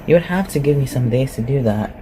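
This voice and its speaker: An awkward, inquisitive shapeshifter living as a forest recluse, acted out by a performer for a fantasy roleplay ASMR. The voice sits at a medium pitch and rambles through hesitant questions. Noticeable noise runs under the recording. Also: lively intonation, brisk, natural voice. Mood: helpful, awkward. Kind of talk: roleplay ASMR audio acting. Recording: clearly noisy home recording.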